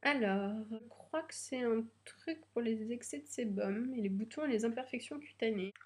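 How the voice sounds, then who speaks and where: A woman's voice: fairly high pitch, normal speed. A young woman with a slightly stuffy nose from a cold, chatting hesitantly with many fillers as she unboxes beauty products. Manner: hesitant, many fillers, nervous first video. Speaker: beauty vlogger. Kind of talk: casual unboxing chat.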